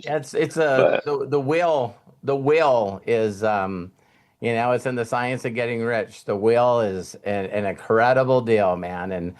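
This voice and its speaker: A man hosting an online group call, speaking in a casual, heartfelt way, halting and repeating phrases for emphasis. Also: mid-range voice, a little mellow. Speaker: host of an online community call.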